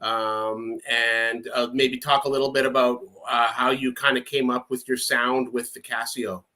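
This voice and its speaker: A man's voice: medium pitch, little pitch movement, unhurried, bright, forceful tone, rough recording. A man hosting a music podcast in a casual, friendly way, rambling a bit with many fillers. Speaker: podcast host. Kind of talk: casual interview chat.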